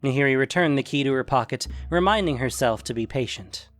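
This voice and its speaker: A man's voice: medium pitch, a little fast, faint music behind. A man narrating a scripted fantasy story as its storyteller.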